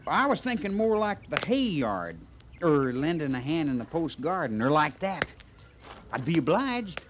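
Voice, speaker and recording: An actor playing an easygoing, dreamy cavalry trooper with a rural western American drawl, in old-time radio western style. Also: male voice, on the high side, very animated, moderate pace. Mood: eager, obliging. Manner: drawling, folksy, hesitant under pressure. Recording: noisy old radio drama recording.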